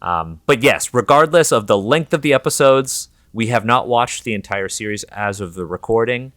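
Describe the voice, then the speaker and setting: A man's voice: mid-range voice, lively intonation, normal speed, fairly bright tone, dry recording, studio quality. A man with a Canadian accent hosting a podcast, chatting casually in a candid, informal and reflective way. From Toronto, Canada. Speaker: podcast host.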